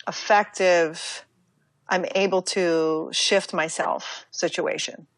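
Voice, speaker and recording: An American woman speaking as an interview guest, talking reflectively in a halting, casual way with many asides. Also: fairly low pitch, steady tone, no room sound. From United States. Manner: reflective, halting, with fillers and self-quoting asides. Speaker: interview guest, yoga teacher and author.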